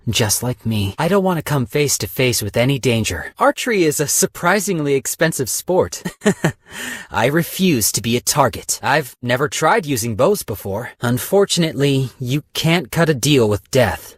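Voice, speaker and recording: An actor playing a calm, mercenary businessman in studio game voice lines, speaking dry and deadpan. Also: mid-range voice, breathy edge, no room sound. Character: weary, cold-blooded businessman stock broker, male, adult.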